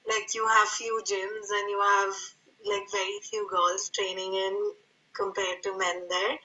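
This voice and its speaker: A woman's voice: mid-range voice, a little slow, bright, forceful tone, lo-fi sound. A woman from India with an Indian accent, chatting enthusiastically in an interview and filling her speech with "like". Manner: enthusiastic, lots of "like". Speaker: guest, jiu-jitsu practitioner. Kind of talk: casual interview chat.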